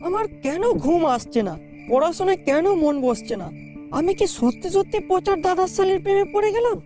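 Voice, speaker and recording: A man's voice: very high voice, brisk. A man voicing a whiny, lovesick cartoon youth in a comedy, with music behind. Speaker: cartoon voice artist. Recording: with loud music bed.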